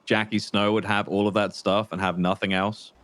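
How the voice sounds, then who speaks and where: A low-pitched male crime boss played by a man in a roleplay game, once a trooper and now bitter and sure of himself. He talks in a deliberate, halting way, repeating words, with condescending menace. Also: flat delivery, a bit bright, close to an everyday voice. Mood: menacing, boastful. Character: menacing, bitter former state trooper turned confident criminal. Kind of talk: improvised crime roleplay phone conversation.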